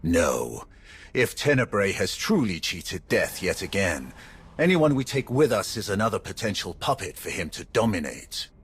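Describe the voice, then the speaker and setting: An actor playing an intense, battle-worn dark warrior in a video game, speaking grimly and forcefully. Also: male voice, low-pitched, sing-song, moderate pace, slightly rough, exaggerated voice. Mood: grim, urgent. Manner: grim, forceful.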